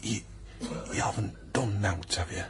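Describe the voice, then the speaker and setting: An actor playing an earnest, defiant young working-class man with a Northern English accent in a period radio drama, often dropping into breathy whispers. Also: lowish voice, unhurried, heavy background noise. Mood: anxious, hushed.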